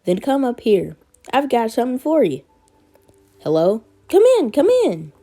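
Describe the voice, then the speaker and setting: A motherly older neighbour lady , as a woman reader plays her, from a comic book read-along. She sounds folksy and welcoming, with colloquial phrasing and a voice lifted well above the reader's usual low one. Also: big pitch swings, normal speed, mellow, faint music behind. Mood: kindly, welcoming.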